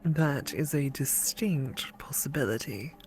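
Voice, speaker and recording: A woman voicing a teasing, studious female ghost classmate in a visual novel, speaking deliberately and articulately. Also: rumbling low, a little mellow, low-quality recording. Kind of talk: visual novel character read aloud for a let's play.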